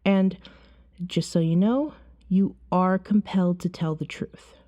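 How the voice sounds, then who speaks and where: A woman playing a calm, seductive vampire in an ASMR roleplay, soothing and hypnotic, often slipping into a whisper. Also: fairly low pitch, measured pace, lightly acted. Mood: frank, curious.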